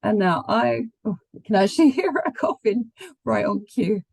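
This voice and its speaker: Woman astrologer, sick and croaky, casual and chatty, lots of ums. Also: medium pitch, lively intonation, normal speed, darkish tone, no room sound. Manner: chatty, laughs often, many ums. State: croaky from the flu. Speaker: astrologer co-host.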